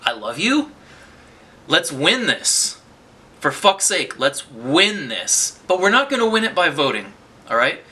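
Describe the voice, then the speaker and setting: Sharp-toned man ranting to camera. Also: a little high, big pitch swings, a little slow. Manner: rambling, with many restarts and profane outbursts. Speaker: vlogger. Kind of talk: unscripted political monologue to camera.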